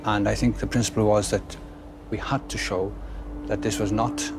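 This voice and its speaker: A man explaining his views in an archive interview, speaking in a flat, steady way from a distant recording. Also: fairly low pitch, moderate pace, over music.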